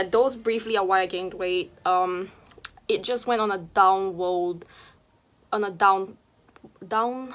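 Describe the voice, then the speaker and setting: A woman in her twenties, raised in Singapore, talking straight to camera in an open, confessional way. Her voice sits at an average pitch for a woman. She fills her sentences with casual "like" and "you know," and now and then drops into a soft whisper. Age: 20s. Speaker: vlogger and streamer. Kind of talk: candid personal vlog talk.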